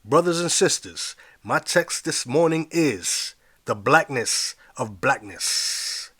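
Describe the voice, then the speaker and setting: A man voicing a fiery preacher who shouts to his congregation in rhythmic call and response. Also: mid-range voice, lively intonation, slow, breathy edge, dry recording, exaggerated voice. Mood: preaching.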